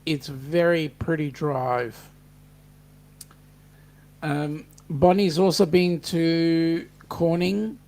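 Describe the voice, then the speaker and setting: An Australian man hosting a casual livestream chat, upbeat and reading viewer comments aloud. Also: on the high side. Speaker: host.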